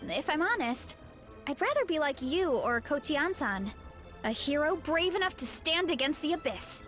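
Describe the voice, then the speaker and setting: A woman's voice: high voice, big pitch swings, moderate pace, a bit bright, faint music behind. A woman voicing a sweet, modest, earnest young woman in an anime-style video game.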